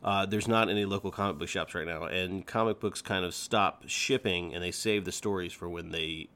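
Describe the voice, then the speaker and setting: A man hosting a fan podcast in casual chat, talking excitably and repeating words for emphasis. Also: low-pitched, normal speed. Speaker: podcast host.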